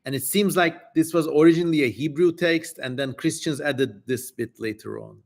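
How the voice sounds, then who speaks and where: A man hosting a live reading stream, commenting casually and wryly with hesitant pauses. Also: a little high, creaky, faint music behind. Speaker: host of a dramatic reading livestream. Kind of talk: live stream commentary between passages of an old text.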